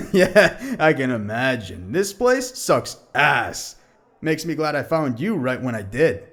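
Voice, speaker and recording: A man playing a sardonic teenage high-school boy in an audio drama, sarcastic, slangy and foul-mouthed. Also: very animated, slow, fairly bright tone. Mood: wry.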